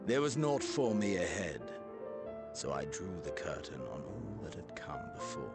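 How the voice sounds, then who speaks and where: A man playing a brooding, nihilistic warrior villain who speaks with grave, menacing, drawn-out phrasing in a video game cutscene. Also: low-pitched, sing-song, over loud music. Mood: fervent reverie. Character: brooding, nihilistic male warrior villain, bored yet obsessive.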